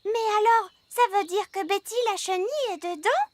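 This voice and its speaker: A woman's voice: very high voice, lively intonation, moderate pace. A woman voicing a curious, cheerful little fairy princess in a cartoon. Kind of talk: animated series dub acting.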